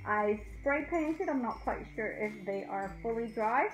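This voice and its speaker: Chatty high-voiced woman in an echoey room. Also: animated, a little slow. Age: middle-aged. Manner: chatty, informal, addresses viewers directly. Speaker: host of a craft channel. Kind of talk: casual DIY tutorial chat. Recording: roomy echo, faint music.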